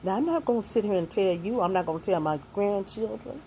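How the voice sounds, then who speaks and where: A woman's voice: medium pitch, expressive, a little fast, mellow, a little hiss or hum. A woman in her sixties, a single mother and grandmother, giving young people earnest, folksy life advice that mixes faith with plain street talk.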